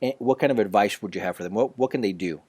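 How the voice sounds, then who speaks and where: Low-voiced man asking rambling questions. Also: fast, a little mellow, husky, dry recording. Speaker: podcast host. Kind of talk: casual podcast interview.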